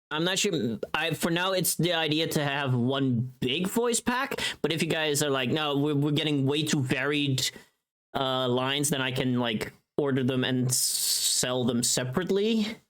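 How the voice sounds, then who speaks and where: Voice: medium pitch, a bit bright. A livestreamer chatting casually and thinking aloud, playful and rambling, with some unfiltered swearing. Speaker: livestreamer and voice pack creator.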